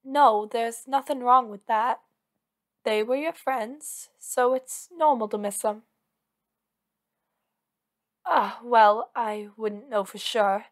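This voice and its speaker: A woman acts out a female villain in love, anxious, clingy and possessive. Her voice sits a little high. She talks one-on-one to her partner as in an ASMR audio drama, earnest and intimate, pleading and insisting with devotion. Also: takes it slowly, long pauses. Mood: soft, reassuring. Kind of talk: ASMR roleplay acting, one-sided dialogue.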